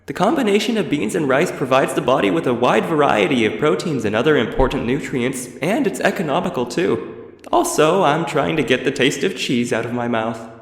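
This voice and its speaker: A man's voice: on the high side, animated, a little fast, gravelly, pitched above the performer's own voice. Guy doing a cunning old undead elf, polite but threatening, echoey room. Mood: coolly menacing. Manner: polite, formal, coolly threatening. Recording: reverberant roomy echo.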